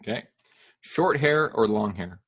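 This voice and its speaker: A man's voice: fairly low pitch, expressive, vocal fry, fairly bright tone, husky. Upbeat man hosting a podcast. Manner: upbeat, laughs easily, quick questions. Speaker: podcast host. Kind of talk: casual interview.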